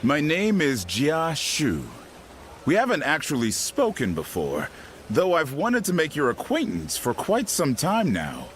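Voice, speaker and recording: A man voicing a sly, affable strategist in a video game, with a smooth, casual, teasing manner. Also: fairly high pitch, wildly swooping pitch, a little slow, slight background noise. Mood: friendly, curious. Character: sly, affable male strategist serving a warlord. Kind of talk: video game dramatic acting.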